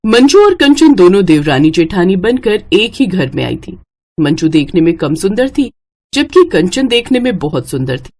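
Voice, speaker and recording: Casting: woman narrator for scripted bedtime tales, expressive, highly varied pitch. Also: moderate pace. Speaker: storyteller.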